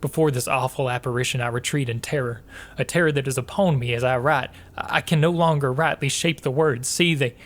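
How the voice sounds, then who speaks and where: An actor playing a tormented husband confessing to murder, reading a gothic tale in a somber, formal, literary manner. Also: male voice, medium pitch, brisk, close to an everyday voice. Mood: terrified. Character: tormented, guilt-ridden husband confessing to murdering his wife. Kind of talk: dramatic first-person reading of a gothic tale.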